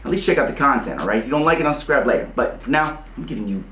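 A man's voice: mid-range voice, expressive, rapid-fire, bright, forceful tone. A man in his thirties hosting a casual, emphatic explainer, using slang and repeating points for clarity. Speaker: host of a music business channel for rappers. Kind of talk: casual explainer talk to camera. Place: small home studio room, indoors. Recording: roomy indoor echo.

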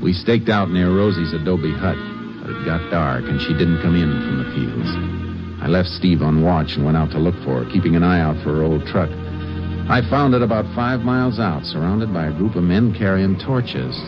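An actor playing a stern, shrewd Texas Ranger with an American accent, dry and matter-of-fact, in an old-time radio drama. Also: male voice, low-pitched, animated, moderate pace. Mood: grim narration. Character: stern, shrewd male Texas Ranger narrating his case. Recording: muffled vintage radio recording, music behind.

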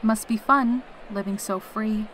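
A woman's voice: medium pitch, fairly even intonation, a little slow, a bit bright, a little hiss or hum, lightly acted, poor audio. A woman voicing a carefree, teasing female pegasus soldier in a fan audio drama. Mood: casual, blunt. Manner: breezy, teasing, cheerful.

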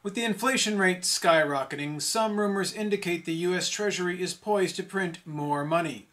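A man anchors a mock news bulletin in a fairly high voice. He reads from a script in the formal manner of a newsreader. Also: normal speed, fairly bright tone.

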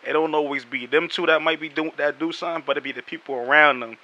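A man's voice: a little high, steady tone, quick pace, bright, forceful tone, muffled recording, slight background noise. A man hosting a casual hip hop interview from a small room at home with a headset, laid-back and conversational, with fillers between his questions. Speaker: interview host.

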